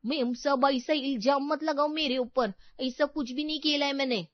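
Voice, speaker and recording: A woman's voice: high voice, fairly even intonation, moderate pace, dry recording, highs cut off, close to an everyday voice. A woman voicing a cheeky, scheming housemaid with attitude in a cartoon moral story. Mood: feigned innocence.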